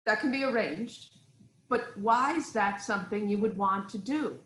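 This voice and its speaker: A woman playing a poised, concerned queen in a formal, measured reading of Greek tragedy. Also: medium pitch, a bit bright, close to an everyday voice. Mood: concerned, questioning. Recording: roomy, echoing sound.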